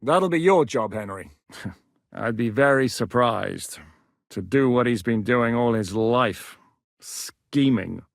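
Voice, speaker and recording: An actor playing a shrewd, resolute medieval rebel captain who speaks plainly and with authority in a video game. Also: male voice, medium pitch, animated, takes it slowly, vocal fry, no echo, studio quality. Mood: wry. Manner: authoritative, decisive, plain-spoken. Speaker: military leader planning operations. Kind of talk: video game dialogue acting.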